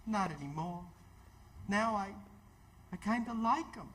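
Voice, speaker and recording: An actor playing a distraught, bitter and weary ambulance driver in old-time radio theatre, with an emotional, halting, stammering delivery. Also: male voice, sits high, expressive, a bit bright, slight background noise. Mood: halting, awed.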